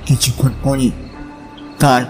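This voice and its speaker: A man narrating a dramatized audio story with measured, suspenseful phrasing. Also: medium pitch, little pitch movement, soft background music.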